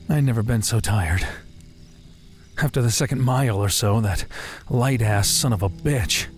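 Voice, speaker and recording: A man's voice: medium pitch, takes it slowly, pauses often, lightly acted. An actor playing a guarded, self-deprecating young American working-class man in an audio drama, with a slangy, laconic way of talking. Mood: wry storytelling. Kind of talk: naturalistic audio drama dialogue. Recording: music playing behind the voice.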